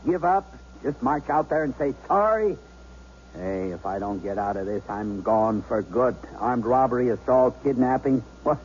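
A hard-boiled robber played by a man sneers through slangy, suspicious tough talk in a 1970s radio play. His mid-pitched voice is mellow but very animated. It comes through muffled, with faint hiss. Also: normal speed, close to an everyday voice. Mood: scornful. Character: hard-boiled, distrustful armed robber holding hostages. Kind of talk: 1970s radio drama acting. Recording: dull, faintly noisy 1970s radio recording.

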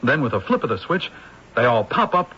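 A man's voice: medium pitch, big pitch swings, a little fast, bright, forceful tone, slightly rough, heavily acted. An actor playing an affable male movie crew member who explains things in a radio drama. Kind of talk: 1970s radio drama acting. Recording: dull old radio recording, faint hiss.

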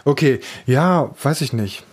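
A man's voice: mid-range voice, expressive, a little slow, a little mellow, husky. Playful man in casual chat. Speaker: podcast co-host.

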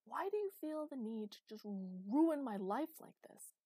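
A woman's voice: mid-range voice, lively intonation, moderate pace, dark tone, no echo, studio quality, close to an everyday voice. Woman acting an exasperated, imperious immortal queen. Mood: weary, exasperated. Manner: bitter, sardonic, hissing outbursts.

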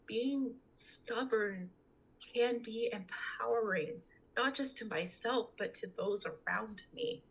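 A woman's voice: a little high, slow pace, husky, rough recording. A young adult woman talking casually as a podcast guest, earnest and chatty. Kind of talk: casual conversational interview talk.

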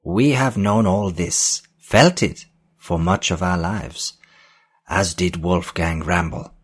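A man's voice: deep voice, slow, slightly rough, heavily acted. A man voicing a frail old man in an audiobook, speaking formally with solemn, insistent authority.

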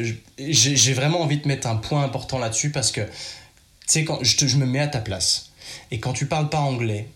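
A man from France talks straight to the listener in a chatty, direct monologue. His voice sits at an ordinary middle pitch. The room around him echoes noticeably. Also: a bit bright. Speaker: podcast host. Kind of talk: casual advice monologue.

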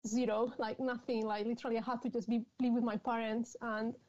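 A woman's voice: a little high, little pitch movement, a little fast, a little mellow. A woman in her thirties from Spain with a Spanish accent, speaking English as a second language and chatting frankly as a guest author in an interview. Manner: laughs often, frank and chatty. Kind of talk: conversational interview. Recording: dull lo-fi sound.

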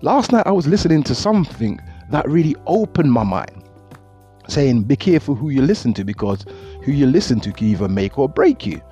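A man's voice: medium pitch, sing-song, a little fast, warm tone. A middle-aged man, earnestly giving advice in a reflective monologue, often repeating words as he talks. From Jamaica. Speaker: motivational speaker. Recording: dull lo-fi audio with faint music.

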